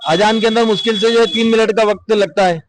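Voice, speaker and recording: Guy with a highish voice explaining his opinion to a reporter, measured. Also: steady tone, a crowd in the background. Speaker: member of the public interviewed. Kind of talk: explanatory interview answers.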